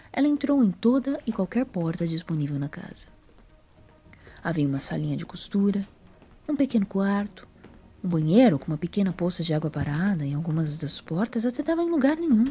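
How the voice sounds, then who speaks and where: Female story narrator, Brazilian accent, mellow tone, breathy and husky delivery for scripted fiction. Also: on the low side, lively intonation, brisk.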